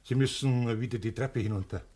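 A man's voice: mid-range voice, brisk, mellow, slightly rough, close to an everyday voice. A man acting a dutiful, deferential prison warder with clipped speech in a 1960s German radio play. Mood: deferential, brisk.

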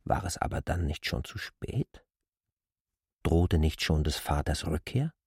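A man's voice: very deep voice, lively intonation, measured pace, a little mellow, slightly rough, dry recording, clean studio sound. A man giving a scripted narration of literary fiction. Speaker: audiobook narrator.

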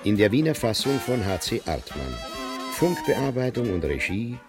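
A man's voice: medium pitch, big pitch swings, normal speed. A man reading a scripted radio play announcement as the announcer. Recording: voice over brass music. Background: brass music.

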